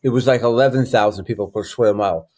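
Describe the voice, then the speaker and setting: A man's voice: on the low side, quick pace, a little mellow. A man hosting a casual interview, chatty and loose, thinking aloud with frequent ums. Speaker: host.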